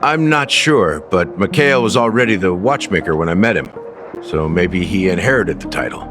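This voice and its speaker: A low, weathered game character, a sly and grave officer who guides and tells stories. He speaks deliberately and with drama. Music plays clearly behind him. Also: animated. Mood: measured. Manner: measured, dramatic, deliberate storytelling delivery. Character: world-weary male officer, sly, grave storyteller guide. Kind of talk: video game story dialogue. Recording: studio voice over game music. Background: background music.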